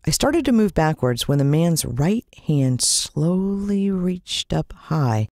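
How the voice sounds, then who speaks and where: A woman's voice: low-pitched, big pitch swings, slow, a little mellow, no echo, clean studio sound. A woman with an American accent narrating a story in a dramatic, suspenseful way, drawing out words. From United States. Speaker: audiobook narrator.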